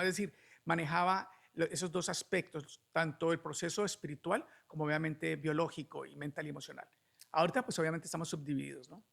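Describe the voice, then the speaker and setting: A man's voice: a little high, gravelly, a bit bright. A middle-aged man with a Colombian accent, explaining things conversationally as a guest and often adding little checking questions. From Cali, Colombia. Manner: frequent checking tags like "¿no?". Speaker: podcast guest, alternative medicine doctor.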